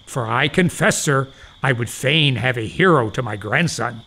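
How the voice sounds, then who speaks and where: A man acting a gullible old doctor in an audio farce, pompous and rapturous, with deferential, drawn-out exclamations in period English. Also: medium pitch, lively intonation, bright, forceful tone. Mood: deferential, eager.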